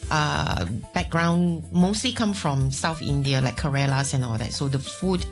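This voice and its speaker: A woman with a Malaysian accent answers as an interview guest in a very low voice. She talks in a chatty, plain way. Soft music sits faintly behind her. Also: a little slow. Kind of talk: conversational interview.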